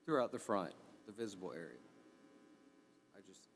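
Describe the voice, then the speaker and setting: A man's voice: deep voice, big pitch swings, normal speed. A man speaking as a commissioner at a hearing, plainspoken and conversational in his questions and remarks. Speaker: review commissioner. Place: indoor public meeting room. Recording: echoey meeting room with background noise.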